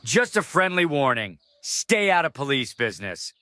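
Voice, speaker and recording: An actor playing a smug, bullying small-town sheriff's deputy who taunts and threatens, in an audio drama. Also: male voice, mid-range voice, sing-song, normal speed, pushed and bright, no room sound. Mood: menacing.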